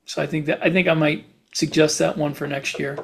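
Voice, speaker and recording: A middle-aged man co-hosting a podcast in casual chat, halting as he thinks aloud. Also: fairly high pitch, flat delivery, creaky, a little hiss or hum. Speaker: podcast co-host, science fiction author.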